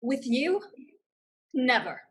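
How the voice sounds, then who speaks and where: A woman acts out a fierce female pirate swordfighter in a stage drama. She is defiant and terse, with a fairly high voice and slow, deliberate delivery. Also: big pitch swings, exaggerated voice. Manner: tough, terse. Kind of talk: staged play reading.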